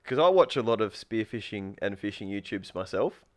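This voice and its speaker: A low-pitched man talks through his thoughts on a casual podcast. He wanders and circles back, often restarting a sentence halfway through. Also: expressive, moderate pace. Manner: rambling, thinks aloud, restarts often. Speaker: podcast guest, spearfisher and food truck owner.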